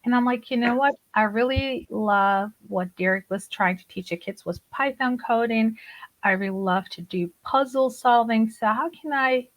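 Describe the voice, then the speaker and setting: A woman's voice: fairly high pitch, moderate pace, rough recording. A woman in her forties, raised in Germany with Turkish as her first language, chatting as a podcast guest and laughing often. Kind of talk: conversational interview.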